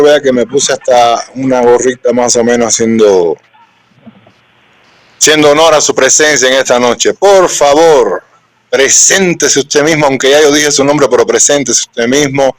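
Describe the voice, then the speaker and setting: A man with a Cuban accent hosts a live-streamed interview. He speaks in an earnest, unhurried way. His voice sits in a middle pitch, and the sound is dry and close, with no room echo. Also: steady tone. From Cuba. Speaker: host.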